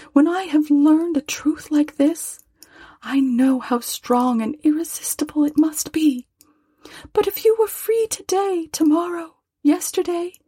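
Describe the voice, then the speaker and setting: A woman voicing a young woman who speaks softly and sorrowfully, gentle but firm, close to tears. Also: high-pitched, no echo, voice pushed up. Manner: soft, tearful, pleading.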